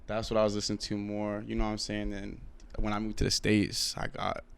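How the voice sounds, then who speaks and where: A man's voice: fairly low pitch, completely flat delivery, brisk, vocal fry, smooth. A man in his twenties, born in South Africa and raised in London, chatting casually as a rapper guest, laid-back and full of fillers. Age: 20s. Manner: laid-back, lots of "like" and "you know what I'm saying". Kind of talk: casual interview chat.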